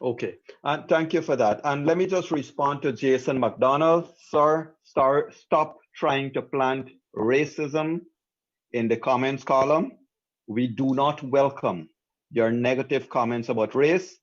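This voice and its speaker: A man's voice: medium pitch, measured pace. A man with a Guyanese accent hosting a live call-in panel show in a firm, direct manner. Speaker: call-in talk show host. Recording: dull, lo-fi audio.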